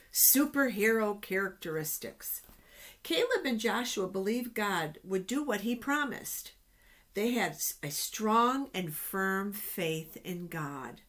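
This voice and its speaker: Slow, expressive woman storyteller. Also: mid-range voice. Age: middle-aged. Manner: expressive, explanatory. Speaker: children's storytime reader. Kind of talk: reading Bible stories aloud to children. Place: small office, indoors. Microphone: webcam at desk distance.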